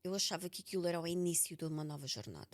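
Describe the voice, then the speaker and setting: A woman's voice: on the low side, animated, rapid-fire. A woman with a European Portuguese accent speaking candidly and reflectively as the guest in a personal interview, often repeating words for emphasis. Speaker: podcast author being interviewed.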